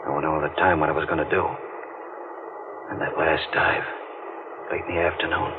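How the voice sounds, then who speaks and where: Deep-voiced brooding diver, old radio thriller. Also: male voice, little pitch movement. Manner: brooding, halting, clipped phrases. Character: haunted, guilt-ridden deep-sea diver, brooding and menacing. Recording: muffled, noisy vintage radio recording.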